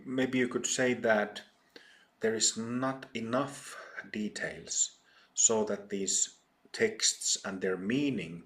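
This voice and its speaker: A middle-aged man with a Finnish accent, a meditation teacher giving a talk at a retreat, speaking haltingly with many pauses and fillers. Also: lowish voice, fairly bright tone. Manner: slow, hesitant, many ums and pauses.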